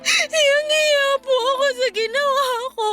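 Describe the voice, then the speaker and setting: A woman's voice: extremely high pitch, a little slow, bright, forceful tone, soft background music, exaggerated voice. A woman playing a remorseful, pleading thief in a radio drama, apologetic and deferential toward her employer. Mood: ashamed, tearful. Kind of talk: radio drama acting in Tagalog.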